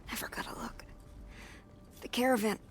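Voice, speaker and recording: An actress playing a weak, dying caravan survivor in a video game, breathless and speaking in halting fragments. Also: female voice, in a whisper, close to an everyday voice. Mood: weak, frightened. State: weak, breathless, barely whispering. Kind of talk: video game cutscene acting.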